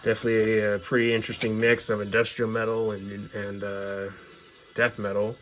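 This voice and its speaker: A man's voice: on the low side, monotone, brisk, a bit bright, faint music behind. A man hosting a casual show-and-tell, talking in an informal, opinionated fan's way as they go through their collection. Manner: casual, opinionated fan talk. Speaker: host presenting their music collection.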